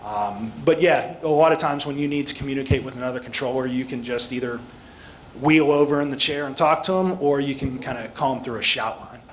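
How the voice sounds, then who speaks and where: A man's voice: mid-range voice, fairly quick, slight background noise, rough recording. An American man speaking as a guest instructor, explaining things plainly in an informal talk with questions from listeners. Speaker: guest speaker, air traffic control instructor.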